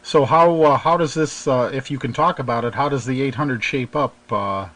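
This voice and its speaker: A man at a medium pitch hosts a radio talk show. He asks short, casual questions with a few fillers. The sound comes through as broadcast radio audio. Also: moderate pace, fairly bright tone. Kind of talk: interviewing a guest.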